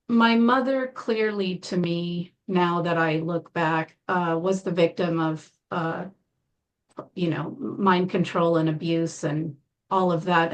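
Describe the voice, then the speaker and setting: A woman guest in an interview, telling her life story in a calm, measured way with frequent pauses. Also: lowish voice, low-quality recording. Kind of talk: interview, personal account.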